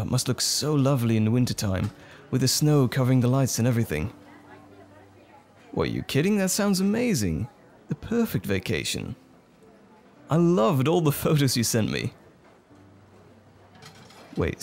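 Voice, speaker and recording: A man playing a gentle, bashful romantic date in an ASMR roleplay, speaking tenderly and a little hesitantly. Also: medium pitch, big pitch swings, a little slow, vocal fry, pauses often. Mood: cheerful, flustered. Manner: tender, hesitant, lightly chuckling flirtation. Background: music.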